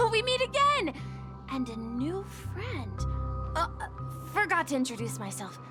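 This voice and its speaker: A woman's voice: very high-pitched, big pitch swings, slow pace, music in the background, heavily acted. A woman voicing a polite, eager, innocent young boy bellboy in a video game, earnest and slightly hesitant. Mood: cheerful, surprised.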